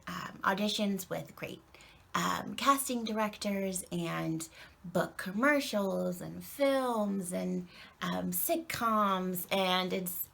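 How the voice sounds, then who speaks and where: A woman working as an acting and presentation coach, speaking in a naturally soft voice in an earnest, measured recorded statement with frequent ums. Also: mid-range voice, creaky.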